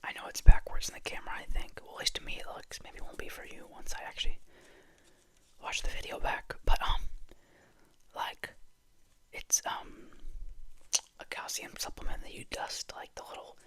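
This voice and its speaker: An ASMR host talking casually and hesitantly in a soft, breathy, husky whisper, rambling in a chatty way. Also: normal speed, long pauses. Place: a room at home.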